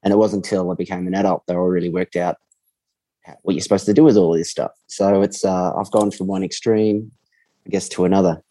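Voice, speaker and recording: Relaxed man with a low, dark voice. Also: no room sound. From Australia. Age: 40s. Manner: relaxed, reflective, frequent pauses. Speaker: podcast guest. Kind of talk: casual interview chat.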